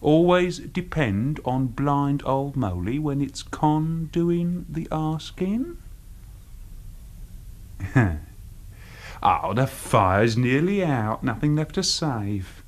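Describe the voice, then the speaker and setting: A man's voice: fairly high pitch, big pitch swings, extremely slow, full character voice. A male actor with a British accent playing a sneering, bullying lout who taunts in slangy tag questions in a radio drama. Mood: gloating, taunting. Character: sneering, bullying, hard-drinking male lout, cruelly mocking. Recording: noisy old radio drama recording.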